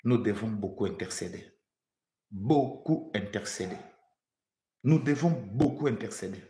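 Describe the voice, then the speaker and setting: A man's voice: on the low side, expressive, a little slow, dark tone, reverberant. A man from the Democratic Republic of the Congo preaching a prophetic sermon, fervent, repeating phrases for emphasis and stammering when he restarts.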